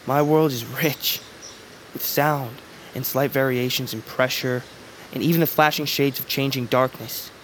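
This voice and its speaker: A man playing a serene, philosophical young blind brother in a fantasy audio drama, with lyrical, earnest, measured phrasing. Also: mid-range voice, steady tone, a little hiss or hum, close to an everyday voice. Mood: quietly proud.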